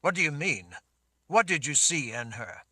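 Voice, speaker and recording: Guy doing a firm protective lord, bright forceful tone, dry sound. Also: a little high, very animated, moderate pace, no echo, pitched above the performer's own voice, full character voice. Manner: firm, insistent commands.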